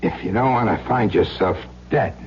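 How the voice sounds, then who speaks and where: An actor playing a menacing, mocking crook with rough, slangy speech in an old-time radio drama. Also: male voice, on the low side, moderate pace, darkish tone, a little hiss or hum, close to an everyday voice. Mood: mock friendly. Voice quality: husky, rough. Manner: slangy, streetwise, sneering. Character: menacing crook, a cold, mocking tough guy. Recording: dull lo-fi old radio recording.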